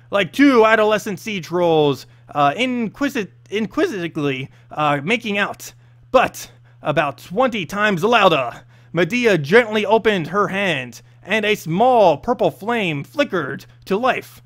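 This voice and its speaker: A man's voice: high-pitched, fairly bright tone. A man reading a scripted fantasy story aloud as narrator, expressively and with the odd stumble over words. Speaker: narrator and host of a lore reading series.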